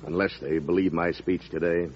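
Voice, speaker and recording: An actor playing a resigned, doubtful senator in an old-time radio drama. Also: male voice, low-pitched, fairly even intonation, moderate pace, slight background noise, lightly acted. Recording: dull vintage radio recording.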